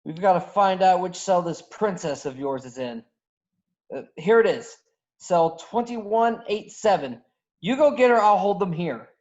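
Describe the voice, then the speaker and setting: A man's voice: high-pitched, animated, measured pace, a little mellow. A man acting a cocky, skeptical smuggler in a table read, over a virtual call. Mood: impatient. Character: cocky, skeptical male smuggler, gruff but improvising nervously. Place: virtual call from separate location. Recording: dull lo-fi video-call audio.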